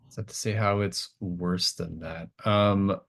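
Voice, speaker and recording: Low-voiced man running a tabletop game. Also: steady tone, a little slow, vocal fry. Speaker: game master. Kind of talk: improvised tabletop game narration and casual chat.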